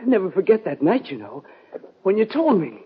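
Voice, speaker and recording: A man playing a delirious young cowboy in a 1950s radio western, rambling and halting in rustic speech. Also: high-pitched, huge pitch swings, husky, dull audio, exaggerated voice. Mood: delirious, agitated. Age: young adult. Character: delirious young cowboy, sun-struck and pleading. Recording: old radio recording with hiss and clicks.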